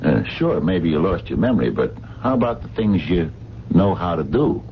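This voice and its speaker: A man's voice: sits low, very animated, moderate pace. An actor playing a skeptical, plain-spoken working man at a diner in a radio drama, blunt and probing. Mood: casual, probing. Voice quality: colloquial, plain diction. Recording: dull, noisy 1970s radio recording.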